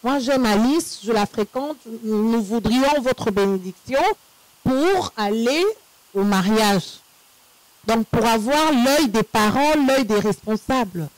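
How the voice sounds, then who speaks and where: Female host of a faith talk show, slow and measured, dry close sound. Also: on the high side, no echo, low-quality recording. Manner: slow, measured, with frequent hesitations.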